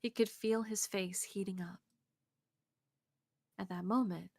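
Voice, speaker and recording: A woman reading visual novel narration aloud on a game stream in an expressive way, close to the mic. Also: mid-range voice, unhurried, vocal fry, dry recording. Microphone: close streaming mic, dry sound.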